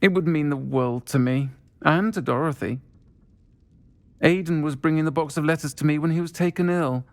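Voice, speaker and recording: A performer playing a kindly, composed woman who runs a pub in a video game, speaking in a measured, caring way. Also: sits low, darkish tone. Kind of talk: video game dialogue acting.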